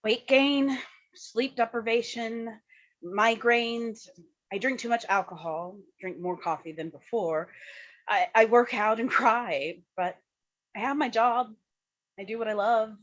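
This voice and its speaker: An actress playing a weary but grateful working mother, candid and a little halting in a staged reading. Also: fairly high pitch, fairly bright tone. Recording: dull, lo-fi microphone sound.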